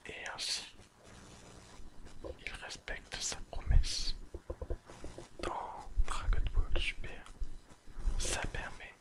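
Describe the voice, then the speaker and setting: A person whispering an ASMR recap in a breathy, unvoiced voice, speaking deliberately in short, separated phrases as the host. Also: low-quality recording. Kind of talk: whispered ASMR episode summary and commentary.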